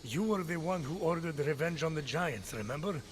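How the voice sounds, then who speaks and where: A man's voice: fairly high pitch, animated, fairly quick, slight background noise, lightly acted. A man playing a dry, reproachful storyteller from myth in a video game, needling in manner. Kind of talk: video game cutscene voice acting.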